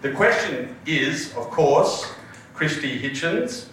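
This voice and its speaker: A man hosting a live panel, jovial and loud, often restarting his sentences. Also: fairly high pitch, sing-song, slow pace. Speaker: panel host. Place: large event hall with audience. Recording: echoey hall, distant mic, crowd noise.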